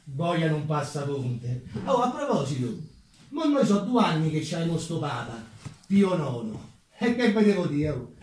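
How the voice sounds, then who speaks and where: A man's voice: a little high, very animated, a little slow, darkish tone. A man with a Roman accent playing a chatty, self-deprecating working-class umbrella mender of the 1840s in a theatrical monologue. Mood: gossipy. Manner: folksy, conversational, rhetorical asides to the audience. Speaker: stage actor. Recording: very echoey room, distant microphone.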